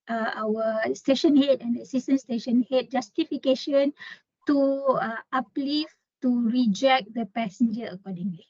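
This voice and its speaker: A woman explaining a work procedure in an informal briefing, halting often as she searches for words. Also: a little high, darkish tone, no echo, lo-fi sound. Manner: halting, explanatory, with frequent hesitations. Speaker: trainer presenting a staff procedure.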